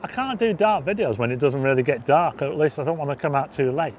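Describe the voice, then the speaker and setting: A man hosting a casual livestream on a windy seafront at dusk, chatting and reading out viewer comments, his voice going hoarse with frequent throat clearing. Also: fairly high pitch, lively intonation, fast, a little mellow. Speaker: livestream host. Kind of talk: casual walking livestream chat.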